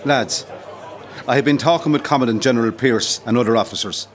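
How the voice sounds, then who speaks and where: Guy acting as irish rebel commander in an audio play, solemn and formal, measured speech to his men. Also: medium pitch, a faint crowd, natural voice. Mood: solemn resolve. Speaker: actor in an amateur audio play. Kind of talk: historical audio drama acting.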